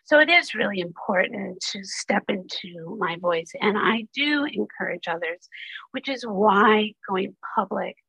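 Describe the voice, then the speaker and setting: A woman hosting an interview show, speaking earnestly and candidly with a rough, hoarse voice. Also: medium pitch, expressive, sharp tone, no room sound, poor audio. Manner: earnest, candid, with quick asides. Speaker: podcast host. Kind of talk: conversational interview.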